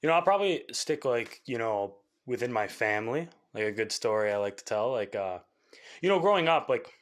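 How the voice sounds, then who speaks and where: A young man, a musician from Canada, talking casually in an interview, rambling with lots of fillers and quick laughs. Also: medium pitch, lively intonation. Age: young adult. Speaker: musician guest.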